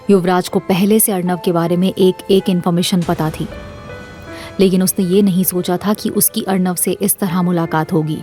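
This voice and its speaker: A woman's voice: lowish voice, dark tone. A woman narrating a scripted audio drama as the storyteller.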